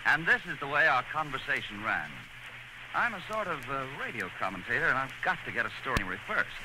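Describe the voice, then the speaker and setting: A man's voice: mid-range voice, sing-song, fairly quick. A man working as a radio commentator, telling a story in an earnest, theatrical 1930s dramatized style. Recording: noisy old 1930s radio broadcast.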